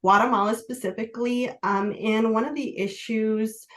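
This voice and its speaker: A woman in her forties from Chicago, a native Spanish speaker, telling personal stories as a podcast guest in an earnest, halting way with many fillers. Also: a little high, fairly even intonation, fairly bright tone. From Chicago, USA. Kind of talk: casual interview, personal storytelling.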